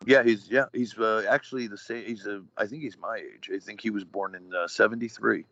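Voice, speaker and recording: A man's voice: lowish voice, steady tone, a little fast, fairly bright tone. A man in his forties chatting casually on a podcast, rambling with lots of fillers and wrestling slang. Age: 40s. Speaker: guest, retired wrestler. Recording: dull lo-fi podcast audio, dry.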